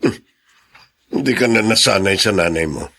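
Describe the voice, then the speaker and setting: A man playing a patient, long-suffering father in a radio drama, gentle and subdued. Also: lowish voice, lively intonation, moderate pace, heavily acted. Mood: resigned.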